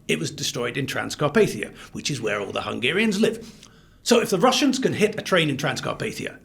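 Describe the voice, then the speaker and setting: Man, podcast co-host, highish creaky voice, lively intonation, emphatic, opinionated political talk, voices imagined quotes. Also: a little fast.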